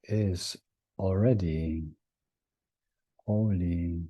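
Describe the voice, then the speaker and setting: A man leading a guided meditation in a gentle, hushed manner, leaving long pauses between short phrases. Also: low-pitched, steady tone, dry recording, muffled recording.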